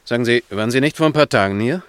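A man's voice: mid-range voice, rapid-fire, a bit bright, clean tone, dry recording, close to an everyday voice. An actor playing a chatty, matter-of-fact desk policeman in a German radio drama. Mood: businesslike.

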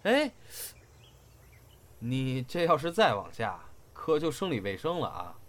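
一位男演员在广播剧里饰演沉稳、宠溺又爱调侃的相声搭档兼恋人，语气含蓄带点揶揄。. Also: male voice, mid-range voice, big pitch swings, measured pace, fairly bright tone, slight background noise. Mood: teasing. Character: steady, teasing older male comedy partner and lover. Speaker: voice actor. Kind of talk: intimate audio drama dialogue. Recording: music behind the voice.

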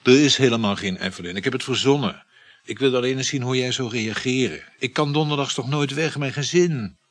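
An actor in a radio play voicing a probing, jealous married man carrying on an affair, with a husky voice. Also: mid-range voice, fairly quick, lightly acted. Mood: confessing, uneasy. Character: married male lover, insecure, probing, jealous.

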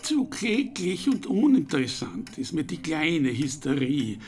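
A man's voice: a little high, very animated, a little slow, a little mellow, soft background music. A man playing a dry, dismissive doctor in a German radio drama, clipped and disdainful in manner.

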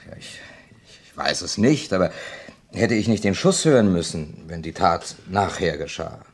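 A man playing a reflective, earnest witness and narrator in a German radio crime play, with deliberate, literary phrasing. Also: sits low, animated, breathy edge. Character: reflective male hotel guest, narrator and witness. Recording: slightly hissy old radio recording.

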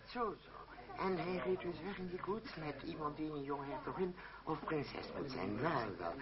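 Man acting pompous scheming father, theatrical, lively intonation, muffled old radio recording, crowd behind. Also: on the high side, moderate pace, natural voice. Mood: sly, amused. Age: middle-aged. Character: pompous, scheming father, fallen gentleman among players. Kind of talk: old-fashioned Dutch radio drama acting.

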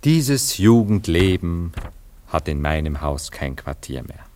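Melancholy ladies' man, low-pitched, solemn, wistful, theatrical, radio drama. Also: very animated, slow pace, darkish tone, a little hiss or hum, heavily acted. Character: melancholy, sentimental male philanderer parting with his past. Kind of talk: German radio play acting.

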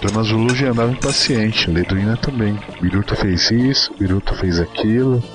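A man's voice: on the low side, normal speed. A Brazilian man giving a dramatic reading of a short story as its narrator. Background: music.

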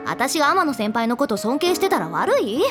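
A touchy teenage schoolgirl who answers curtly and defensively. Her voice is high, in an anime drama CD scene. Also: sing-song, normal speed, over music. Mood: prickly. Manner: defensive, curt.

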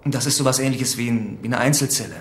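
A man's voice: medium pitch, quick pace, slight background noise, close to an everyday voice. A man playing a wry, matter-of-fact policeman who briefs his superior drily in a radio drama. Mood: wry, factual. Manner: dry, teasing, factual. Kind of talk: naturalistic radio drama dialogue.

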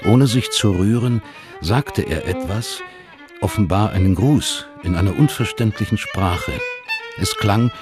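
An actor as a solemn storyteller, narrating a literary radio drama in measured phrases. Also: male voice, on the low side, over music, close to an everyday voice. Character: sombre storyteller of a family tale.

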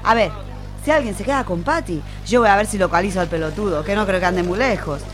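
A woman's voice: mid-range voice, quick pace, crowd noise, close to an everyday voice. A woman playing a protective, no-nonsense market vendor in an audio drama, music underneath. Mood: reassuring, brisk.

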